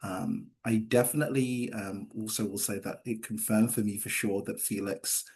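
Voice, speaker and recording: Man giving halting, thoughtful answers. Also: fairly low pitch, fairly even intonation, vocal fry, darkish tone. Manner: halting, hedging with many repeats. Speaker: screenwriter answering questions. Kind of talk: post-reading Q&A discussion.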